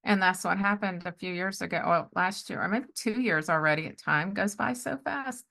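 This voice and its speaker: A middle-aged woman chatting casually as a podcast host, telling personal stories of faith in an animated way and often acting out what people said. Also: lowish voice, moderate pace, gravelly. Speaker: podcast host and life coach. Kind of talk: casual conversational storytelling with faith testimony.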